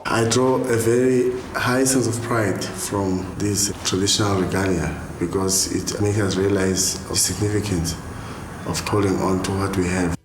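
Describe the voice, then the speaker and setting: A low-voiced South African man speaks formally and solemnly. The room is echoey, and music plays behind his deliberate words. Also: fairly even intonation. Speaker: documentary interviewee. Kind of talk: formal speech to camera.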